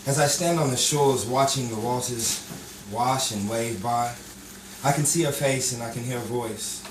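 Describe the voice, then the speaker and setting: An actor playing an earnest, wistful romantic hero in a nostalgic radio drama, with a halting delivery. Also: male voice, medium pitch, noisy background, close to an everyday voice. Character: wistful romantic hero recalling his lost love. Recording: roomy, distant live stage recording, crowd.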